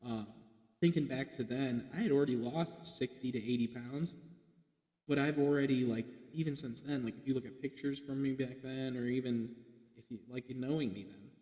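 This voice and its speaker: A man's voice: medium pitch, fairly even intonation, normal speed, warm tone. A man in his late twenties chatting casually on a podcast, rambling with lots of "like" fillers and casual swearing. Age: 20s. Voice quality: self-described light boyish voice. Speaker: podcast guest. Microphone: podcast mic. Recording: echoey room with long reverb.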